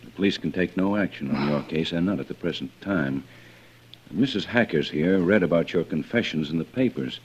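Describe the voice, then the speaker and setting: An actor playing a kindly, uneasy police lieutenant in an old radio drama, hesitant and courteous. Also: male voice, low voice, fairly quick, slightly rough. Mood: gentle, uneasy. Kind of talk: 1940s-50s radio drama acting. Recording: muffled old radio broadcast, faint noise.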